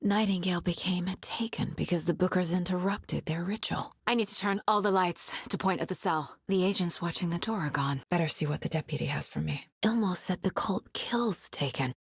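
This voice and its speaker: A woman's voice: fairly low pitch, brisk, hardly any pauses, breathy edge, no room sound, studio quality. An actress voicing a focused, determined female investigator in a video game, troubled but dryly wry. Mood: hushed, brooding. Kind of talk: dramatic game voice lines.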